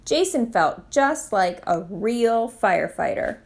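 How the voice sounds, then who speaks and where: A woman reading a children's picture book aloud in an expressive storytime style. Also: fairly high pitch, slow, gravelly. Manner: expressive read-aloud delivery. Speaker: storytime reader, literacy program manager.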